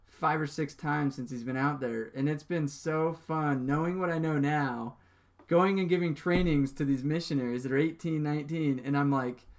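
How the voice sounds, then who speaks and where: A man's voice: on the high side, normal speed. An American man hosting a podcast, chatting casually in an easygoing, joking way. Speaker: podcast host. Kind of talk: casual interview chat.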